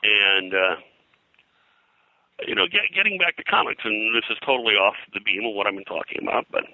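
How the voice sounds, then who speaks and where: An older man talking in a rambling interview style, halting and digressive as a guest historian. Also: fairly low pitch, animated, pushed and bright, dry recording, low-quality recording. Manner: halting, digressive, frequent "you know". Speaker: guest, pop culture historian.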